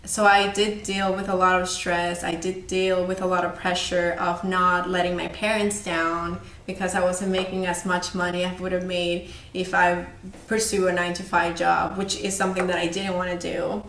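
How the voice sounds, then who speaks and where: A woman's voice: lowish voice, little pitch movement, normal speed, fairly bright tone, a little hiss or hum. A woman in her twenties from El Salvador, giving a candid, earnest personal motivational talk. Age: 20s. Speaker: speaker. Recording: echoey room.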